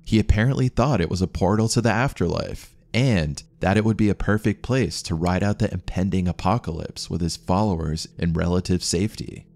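Deep guy narrating a documentary, steady and measured, low voice. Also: vocal fry, no room sound.

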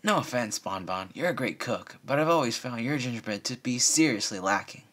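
A man's voice: medium pitch, lively intonation, brisk, a bit bright, voice pushed up. A man plays a confident young unicorn mare in a storybook reading. The voice is lifted above his own, casual and upbeat. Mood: cheerful. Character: cheerful, confident young female unicorn pony.

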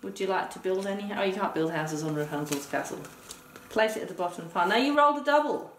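A woman's voice: mid-range voice, lively intonation, fast talker. Woman in a roomy, distant recording. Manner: explains step by step, counting aloud. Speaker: teacher demonstrating a board game. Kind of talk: instructional game play-through talk, addressing a partner. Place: indoor room, game on a table. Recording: roomy, distant mic with noise.

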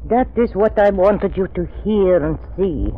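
Old mad-with-worry scientist doctor, high agitated halting voice, old radio play, muffled and hissy. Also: male voice. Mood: solemn. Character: elderly, anxious, obsessive male scientist doctor, trembling and fervent. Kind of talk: 1930s radio drama acting. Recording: dull, noisy old 1930s radio recording.

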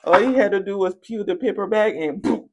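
Woman reading tarot cards, chatty n playful, slangy, medium pitch voice. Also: brisk, background noise. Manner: playful, animated storytelling with quoted asides and slangy endearments. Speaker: tarot reader and channel host. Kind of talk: casual spoken tarot card reading. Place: indoors at a card table.

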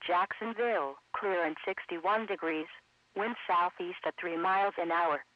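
A synthetic man's voice reading a scripted weather bulletin in a measured, impersonal way as an automated radio announcer. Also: high-pitched, no echo. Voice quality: synthetic text-to-speech voice. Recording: muffled radio broadcast.

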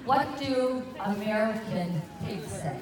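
A woman's voice: mid-range voice, takes it slowly. A woman playfully leading a children's circle dance at an outdoor festival, talking into a handheld mic. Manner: playful, animated instructions to a crowd. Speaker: folk dance leader. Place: grassy park at an outdoor festival. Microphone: handheld mic, filmed from a distance. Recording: distant, echoey, with music and crowd.

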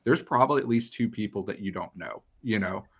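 A man's voice: fairly low pitch, fairly quick, fairly bright tone, slightly rough. A man in his thirties talking casually as a podcast host. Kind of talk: casual chat. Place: small home room, indoors. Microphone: headset on a video call.

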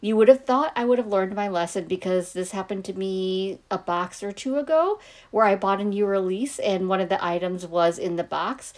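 Hoarse lady talking thru her nail unboxing, chatty and easygoing, close mic voiceover. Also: medium pitch, normal speed, a little mellow. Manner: chatty, easygoing, thinking aloud. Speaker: nail vlogger. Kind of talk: voiceover for an unboxing and manicure video.

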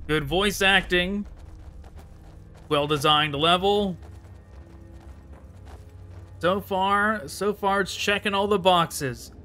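A man's voice: sits high, slow, pauses often, pushed and bright, faint music behind. A man giving casual gameplay commentary, offhand and talking to himself as he plays. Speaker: gaming channel host playing the game.

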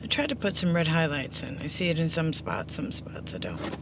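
A middle-aged woman with a hoarse, under-the-weather voice, giving a casual, rambling update to viewers in a low-key, apologetic way. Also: very animated, moderate pace. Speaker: slots vlogger. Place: inside a parked car. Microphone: phone at arm's length. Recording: background noise inside a vehicle.

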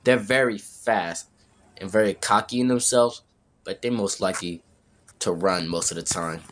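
A man as a low-voiced, ominous seasoned fighter. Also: moderate pace, slight background noise, close to an everyday voice. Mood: explaining. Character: seasoned, cocky male fighter mentoring a newcomer. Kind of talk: amateur game roleplay story acting.

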